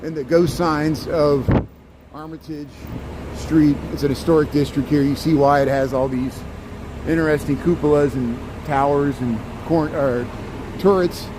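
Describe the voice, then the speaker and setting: Man, 40s, slight cincinnati-kentucky twang, chatty rambling tour guide, slightly high voice, faint traffic behind. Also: steady tone, a little slow. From near Cincinnati, US Midwest. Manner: chatty, rambling, hams it up for viewers. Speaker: walking-tour vlogger. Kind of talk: casual walking-tour commentary. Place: city street outdoors at night. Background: faint street and traffic noise.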